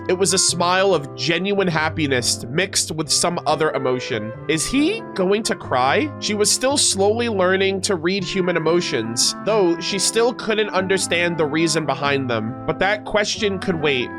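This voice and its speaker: A man streaming as he reads a story aloud and reacts casually, in an expressive, informal and earnest way. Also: on the high side. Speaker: streamer reacting to game lore. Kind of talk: reading a story aloud with casual live commentary.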